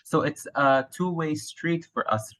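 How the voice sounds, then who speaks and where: A man's voice: fairly high pitch, a little slow, a bit bright. A male teacher with a Lebanese accent, answering interview questions thoughtfully with frequent fillers and restarts. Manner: hesitant, many fillers and restarts.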